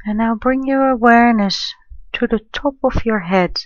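A woman leading a guided meditation in a gentle, soothing, unhurried manner, leaving long pauses between phrases. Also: on the high side, no echo, highs cut off. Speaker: meditation guide, life coach and therapist.

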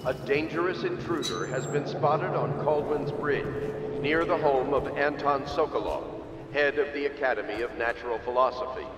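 An actor playing a stern official announcer in a video game, formally giving commanding notices to the city guard. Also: male voice, medium pitch, normal speed, long pauses, natural voice. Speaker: public address announcer. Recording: echoing outdoor loudspeaker, very noisy.

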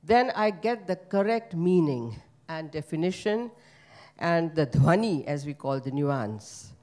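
A woman's voice: low-pitched, expressive, unhurried, darkish tone. Older gujarati-speaking woman chatting at a panel, lots of uhs, handheld mic in a big hall. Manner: conversational, with many fillers and Sanskrit terms. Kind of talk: panel remarks at a book launch. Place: conference hall with an audience. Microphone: handheld microphone.

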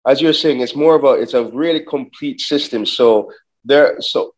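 Halting man hosting a video call. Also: medium pitch. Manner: halting, repeats words, frequent okays. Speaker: interview host. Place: indoor home gym. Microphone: video call, webcam close-up.

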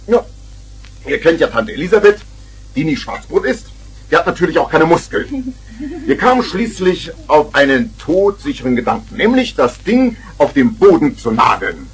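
An actor playing an excitable, boastful eccentric uncle who tells rambling tall tales in a 1970s German children's radio play. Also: male voice, a little high, normal speed, husky, exaggerated voice, low-quality recording. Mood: excited storytelling. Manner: theatrical, rambling storyteller.

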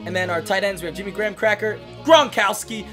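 A young man in his twenties, hoarse from losing his voice, giving excitable casual commentary while opening packs in a video game. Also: high voice, fast. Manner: excitable, hyped outbursts. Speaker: gaming YouTuber. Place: small room at a desk. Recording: music playing behind the voice.

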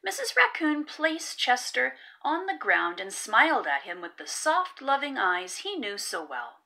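A woman reading a children's picture book aloud as an expressive, careful storyteller. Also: sits high, a little slow, creaky.

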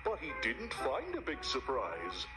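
A man's voice: mid-range voice, wildly swooping pitch, unhurried. A man playing an old-time radio announcer who narrates a children's story in a theatrical, suspenseful way. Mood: grand, suspenseful. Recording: children's TV show refilmed from a screen. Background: music.

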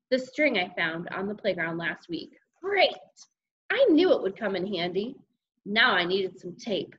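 A woman voicing an excitable, mischievous schoolboy who tells his own misadventures in a children's audiobook. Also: mid-range voice, slightly rough, highs cut off. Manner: animated, childlike exclamations and grumbling. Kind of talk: first-person children's audiobook narration.

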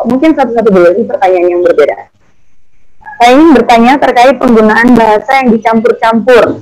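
A woman's voice: fairly high pitch, a little mellow, rough recording. Chatty woman moderating a webinar. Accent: Indonesian. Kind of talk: informal hosting, reading out questions. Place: indoor room, on video call.

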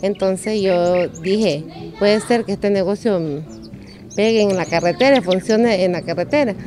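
A woman's voice: mid-range voice, measured pace, darkish tone, faint music behind. A middle-aged woman with a Nicaraguan accent giving casual interview answers as a restaurant owner. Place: open-air roofed roadside restaurant. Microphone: handheld microphone on table in front.

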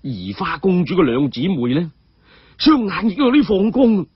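A man's voice: high voice, big pitch swings, normal speed, slightly rough, no echo, highs cut off. A man narrating a story dramatically.

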